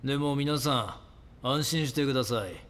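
An actor playing a calm, grandiose and menacing cult guru who preaches solemnly in an audio drama. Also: male voice, mid-range voice, moderate pace, lightly acted. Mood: solemn, preaching. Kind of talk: Japanese audio drama acting.

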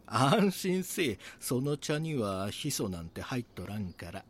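An actor with a Kansai accent playing a brazen, scheming small-time swindler in a voice drama, talking in a rough, conspiratorial, boastful way. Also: male voice, mid-range voice, big pitch swings, unhurried. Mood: secretive. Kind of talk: voice drama acting, true-crime reenactment.

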